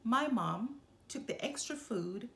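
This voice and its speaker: Woman, children's read-aloud, expressive storyteller, very varied intonation, deliberate phrasing, echoey room. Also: a little high, gravelly. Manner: deliberate, short phrases with pauses for emphasis. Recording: roomy, echoing room sound.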